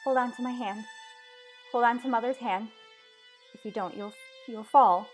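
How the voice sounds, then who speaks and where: A woman playing a frightened, protective mother in a melodramatic radio drama, pleading haltingly and in anguish. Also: on the high side, faint music behind, lightly acted. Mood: frantic, pleading. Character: frightened, protective mother crying out in troubled sleep. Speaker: voice actor.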